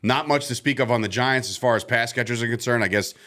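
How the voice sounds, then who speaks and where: A man co-hosting a casual sports podcast, loud and quick to laugh. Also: mid-range voice. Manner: loud, laughs often, excitable. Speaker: co-host.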